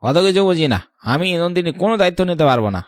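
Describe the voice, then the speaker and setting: A man's voice: on the high side, animated, rapid-fire, dry recording, clean studio sound, lightly acted. A man voicing a greedy, selfish adult cousin in a cartoon, curt and dismissive. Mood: callous, irritated. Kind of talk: cartoon voice acting.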